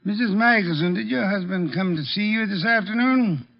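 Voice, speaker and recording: An actor playing a courtly, imperious armchair detective in a formal, probing manner, in 1950s American radio drama style. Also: male voice, high voice, moderate pace, gravelly. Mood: courteous probing. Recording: dull vintage radio recording.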